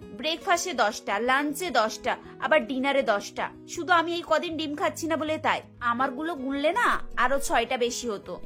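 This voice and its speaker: A woman's voice: sits high, a bit bright. A woman voicing a weary young daughter-in-law in a cartoon, complaining and pleading. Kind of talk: Bengali cartoon voice acting.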